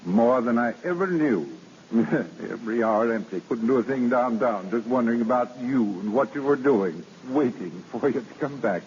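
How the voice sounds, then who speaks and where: Actor as devoted gentleman, reverberant old radio. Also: mid-range voice, expressive, moderate pace, close to an everyday voice. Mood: tender. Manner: earnest. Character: devoted, tender gentleman in love. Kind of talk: 1930s-40s radio drama acting. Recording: muffled, reverberant, hissy old radio broadcast.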